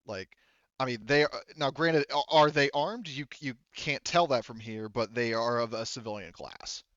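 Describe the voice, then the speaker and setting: A man chatting casually as game master at a tabletop game, talking in a rambling, halting way with plenty of swearing. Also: mid-range voice, a bit bright, no echo, highs cut off.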